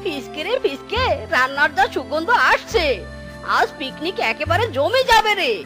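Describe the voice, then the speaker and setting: A woman's voice: high-pitched, lively intonation, normal speed, bright, forceful tone, faint music behind. A woman voicing a lazy, good-humoured fox husband in a children's cartoon, talking in a teasing, colloquial way. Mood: jovial. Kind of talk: animated children's cartoon dialogue.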